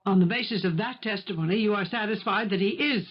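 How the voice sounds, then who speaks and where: Actress as determined protective woman, middle-pitched, firm, articulate, insistent, 1970s radio mystery, muffled vintage sound. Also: quick pace, husky, lightly acted. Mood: probing, pressing. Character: assertive, determined woman turned protective amateur investigator. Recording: dull vintage radio broadcast recording.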